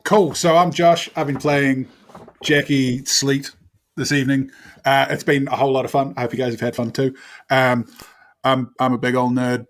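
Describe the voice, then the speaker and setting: A man's voice: mid-range voice, flat delivery, normal speed. A man chatting casually as a podcast guest in a laid-back, wry way. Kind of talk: casual chat.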